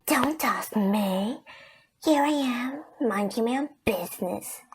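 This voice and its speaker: A woman acts out a ghostly teenage girl with a high voice, sulking and whining with melodramatic self-pity. The audio drama recording has a little noise behind it. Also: lively intonation, takes it slowly. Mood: wounded, accusing. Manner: whiny, accusing, melodramatic.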